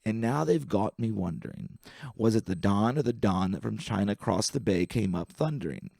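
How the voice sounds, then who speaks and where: A man with a lowish voice and a lot of gravelly fry reads light verse aloud. His delivery is wry and deliberate, each word carefully pronounced. The sound is close and dry, with very little room in it. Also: lively intonation, darkish tone, dry recording. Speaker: amateur reader of poetry. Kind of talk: poetry reading aloud.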